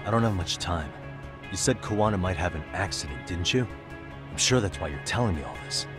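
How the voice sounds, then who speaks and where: An actor playing a determined, level-headed male detective, calm and businesslike, in a video game dub. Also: deep voice, a little fast, over music. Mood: urgent, serious. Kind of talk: dramatic video game voice acting.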